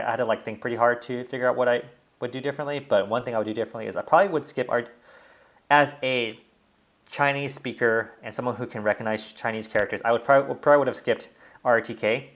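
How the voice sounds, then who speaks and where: A man's voice: mid-range voice, a bit bright. A young man in a casual, reflective vlog monologue, rambling and restarting often, with constant "like" fillers. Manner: rambling, heavy use of "like" fillers, frequent restarts. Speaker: language learning vlogger.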